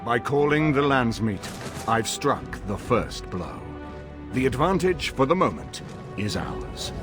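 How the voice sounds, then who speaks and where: An actor playing a dignified, resolute older nobleman in a fantasy game, speaking in a measured, formal and solemn way. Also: medium pitch, sing-song, music in the background. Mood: solemn, determined. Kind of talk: dramatic fantasy game cutscene acting.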